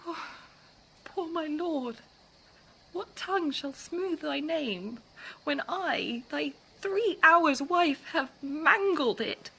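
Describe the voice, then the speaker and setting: A young bride in a Shakespearean radio drama. Her voice is high and bright, and she takes her time over the verse. The delivery is theatrical and full of passion. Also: animated, slow pace, gravelly, full character voice, poor audio. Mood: indignant, grieving.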